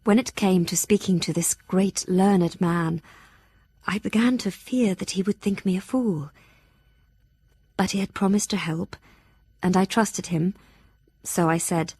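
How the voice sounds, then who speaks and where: A young Victorian gentlewoman reading her journal in an audio drama. She is earnest and anxious, measured and formal, with a middle pitch and a close, dry sound. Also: breathy edge, no room sound. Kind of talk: audio drama acting, diary narration.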